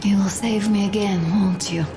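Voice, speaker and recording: A woman playing a gravely ill young wife in a video game, soft, halting and tender. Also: medium pitch, fairly even intonation, music in the background, lightly acted. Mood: wistful, hopeful. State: weak, sick.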